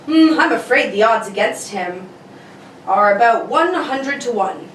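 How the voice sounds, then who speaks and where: A woman's voice: fairly high pitch, animated, measured pace. A woman playing a dutiful army major, aide to a general, in an old-time radio drama. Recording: roomy distant mic, clear background noise.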